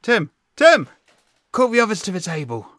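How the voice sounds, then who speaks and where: Man playing a gentle, lively-voiced family father. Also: sits high, normal speed, dry recording, clean studio sound, heavily acted. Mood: cheerful. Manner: tender, earnest, old-fashioned phrasing. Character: gentle, devoted, cheerful poor family father. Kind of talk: audio drama acting.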